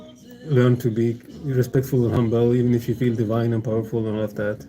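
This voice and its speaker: A man's voice: medium pitch, flat delivery, brisk, mellow, poor audio. A man chatting casually on a livestream, meandering and hesitant, with many fillers and restarts and frequent chuckles. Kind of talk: casual rambling stream chat.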